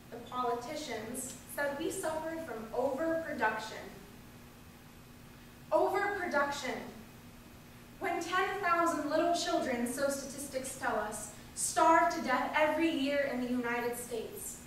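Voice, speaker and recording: An impassioned populist woman orator, read out by a woman, denounces the powerful in a high voice. The delivery is rhetorical and emphatic, heard from a distance in a very echoey hall with faint noise. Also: unhurried, long pauses, a bit bright. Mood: indignant, defiant. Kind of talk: declaimed political speech read aloud.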